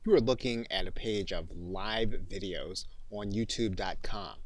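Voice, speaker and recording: Male instructor, lowish pitch, dry close sound, measured explanatory delivery, scripted tutorial. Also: dry recording. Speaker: software course instructor.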